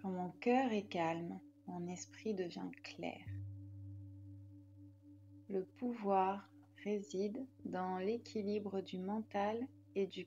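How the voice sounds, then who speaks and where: A woman leading a guided relaxation meditation as a yoga nidra guide, calm and soothing in her delivery. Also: medium pitch, highs cut off.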